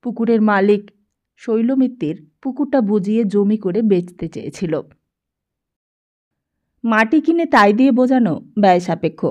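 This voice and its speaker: A female audiobook narrator reads prose fiction. Her voice sits at a middle pitch for a woman, and she delivers the text as scripted storytelling. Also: lively intonation, normal speed, pauses often.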